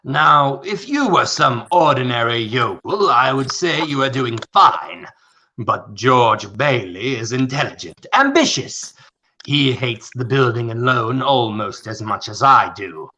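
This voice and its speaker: A man acting as a rich, condescending, scheming old tycoon in a radio play, with a gravelly, theatrical delivery. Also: mid-range voice, big pitch swings, measured pace, pushed and bright. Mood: smug, persuasive.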